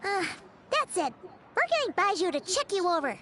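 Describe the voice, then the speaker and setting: A woman's voice: extremely high pitch, normal speed, slight background noise, exaggerated voice. A woman voicing a tiny, childlike, chatty fairy companion in an anime video game. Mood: worried, chatty. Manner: refers to self in third person, expressive. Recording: music playing behind the voice.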